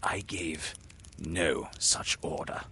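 A man's voice: extremely low pitch, lively intonation, sharp tone, breathy edge, whispered, heavily acted. A man voicing a proud, brooding pirate captain in a grandiose, menacing storybook style. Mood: shaken. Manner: grandiose, menacing, slow dramatic delivery.

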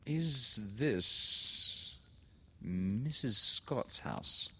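A man's voice: medium pitch, lively intonation, drawn-out words, bright, forceful tone, husky, voice pushed up, heavily acted. A man voicing a frightened young woman who stammers and pleads. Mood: nervous.

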